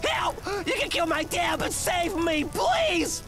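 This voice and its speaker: A cowardly, spoiled young manga villain. The voice is shrill and creaky, whining and panicky as it swoops about, with music behind. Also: male voice, big pitch swings, very slow, sharp tone, exaggerated voice. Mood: desperate pleading. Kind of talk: exaggerated manga dub acting.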